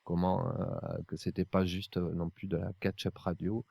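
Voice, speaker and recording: Deep-voiced man hosting a podcast. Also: flat delivery, normal speed, gravelly, mellow, no room sound. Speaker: podcast host. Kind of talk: casual podcast discussion.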